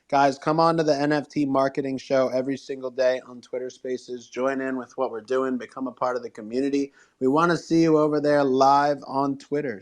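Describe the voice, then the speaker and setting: A man hosts a casual live audio show in a mid-range voice. He is upbeat and encouraging, with plenty of slang and a promotional, rallying way of talking to listeners. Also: mid-range voice. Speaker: show host. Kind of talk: upbeat podcast and live audio room hosting.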